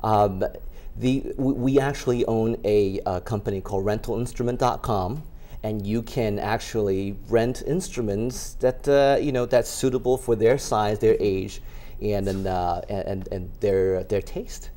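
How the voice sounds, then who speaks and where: A middle-aged man from Taiwan, a music school founder answering questions as a TV interview guest, halting with many fillers and restarts. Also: on the low side, steady tone, mellow.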